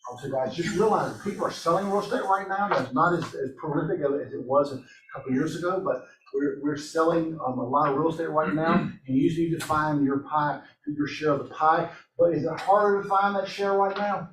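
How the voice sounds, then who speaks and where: A man's voice: a little high, brisk, a bit bright. A man leading a team meeting in a meeting room, upbeat and encouraging, asking the room questions. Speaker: real estate office leader running a team meeting. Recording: echoey room, from a distance, faint noise.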